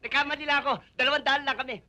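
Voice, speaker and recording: A man's voice: very high voice, little pitch movement, pushed and bright, exaggerated voice. An actor playing a stingy production manager on a film set who refuses to raise his price. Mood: stubborn. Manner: quick, stubborn, repeats his offer. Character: stingy, stubborn male film production boss.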